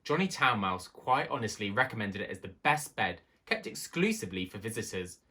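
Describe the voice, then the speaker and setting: A man reading a children's tale aloud as an expressive storybook narrator. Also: on the low side, brisk. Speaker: story reader.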